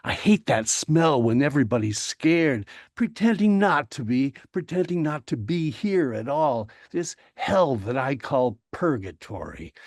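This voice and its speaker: A man, a poet, reading his own verse aloud in a measured, deliberate manner. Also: medium pitch, darkish tone, no room sound, clean studio sound.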